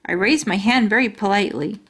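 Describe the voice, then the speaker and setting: A woman's voice: medium pitch, a little fast, a bit bright, husky. A woman reading a children's storybook aloud as a storytime reader.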